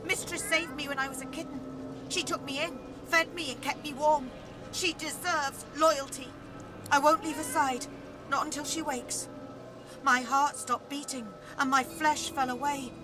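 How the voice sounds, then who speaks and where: A woman's voice: sits high, fairly even intonation, measured pace, over music. A woman voicing a loyal, defiant undead cat that protects its mistress in a video game. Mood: devoted, mournful. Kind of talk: video game character acting.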